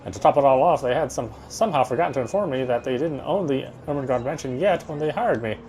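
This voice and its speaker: A man's voice: medium pitch, quick pace, a little mellow, slight background noise, poor audio. A man reading visual novel narration aloud, sometimes stumbling and going back over phrases. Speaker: game streamer reading aloud.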